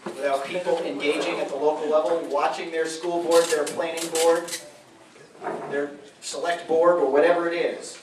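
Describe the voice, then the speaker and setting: A man's voice: fairly high pitch, slight background noise. A man, a radio host emceeing a public meeting, telling stories in a folksy way with chuckling asides. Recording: echoey room from a distance.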